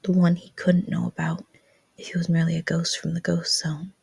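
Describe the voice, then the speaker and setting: A woman narrates a story in a low voice with plenty of creak. The delivery is scripted and dramatic, and the sound is dry and close. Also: completely flat delivery, brisk, darkish tone, dry recording. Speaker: fan fiction reader.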